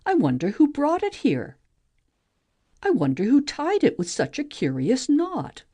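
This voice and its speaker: A woman acts out a restless, wondering young heroine of a mythic play. The voice is soft-edged and slightly husky, with very lively melody. She muses aloud, full of curiosity. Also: high-pitched, measured pace, exaggerated voice. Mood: wondering, eager. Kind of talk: amateur audiobook play reading, expressive.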